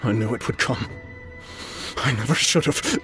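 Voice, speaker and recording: An actor playing a broken, guilt-ridden old swordmaster in a game, speaking in an anguished, pleading way. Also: male voice, mid-range voice, animated, normal speed, fairly bright tone, soft background music, natural voice.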